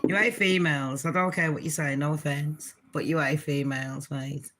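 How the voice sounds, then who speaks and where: A woman with a deep voice hosting her own channel, giving blunt, swearing, chatty commentary. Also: fairly even intonation, moderate pace, a bit bright, a little hiss or hum. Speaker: channel host. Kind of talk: casual reaction commentary.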